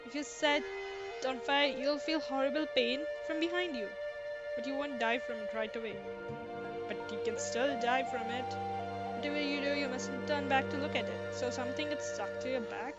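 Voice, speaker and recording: High-voiced woman streaming over loud music. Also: dull audio. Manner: reacting aloud, repeating words rapidly. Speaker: game livestreamer. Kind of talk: casual livestream game commentary. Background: loud game music.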